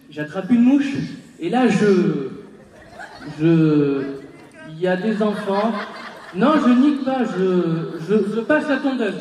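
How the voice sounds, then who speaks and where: A man's voice: sits high, darkish tone. A man doing a stand-up bit, laughing as he mimes everyday actions. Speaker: stand-up comedian. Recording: distant, echoey open-air stage.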